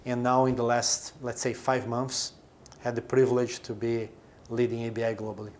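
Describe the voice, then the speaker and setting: A man's voice: mid-range voice, level tone, moderate pace, darkish tone, a little hiss or hum. A man in his forties from Brazil, a company chief explaining his business in an interview, with short emphatic phrases. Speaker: chief executive of a brewing company.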